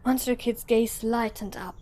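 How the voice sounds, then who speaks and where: Higher-pitched woman narrating a story. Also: fairly even intonation, measured pace, dark tone.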